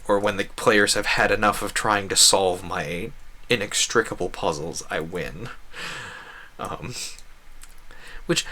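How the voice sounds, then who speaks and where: Guy streamer chatting casually while he plays, bright voice, pitch moves around a lot, rambly. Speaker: game streamer. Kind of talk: casual chat while playing a game.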